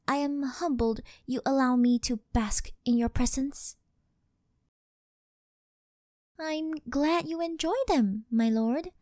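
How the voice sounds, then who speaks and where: A woman's voice: high voice, darkish tone, dry recording, studio quality. A woman playing a devoted yandere doll maid who worships her master, speaking with reverent, formal servility in an ASMR roleplay. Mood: humble, adoring.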